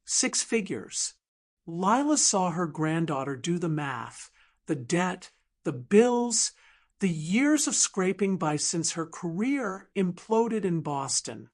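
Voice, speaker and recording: A woman narrates scripted fiction as an audiobook reader. Her delivery is dramatic and unhurried, with animated intonation. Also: fairly low pitch.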